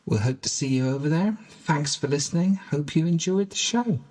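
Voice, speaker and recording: A man's voice: fairly high pitch, expressive, a little mellow. A man reading the credits and a friendly outro for an audio drama podcast. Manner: friendly, measured announcing.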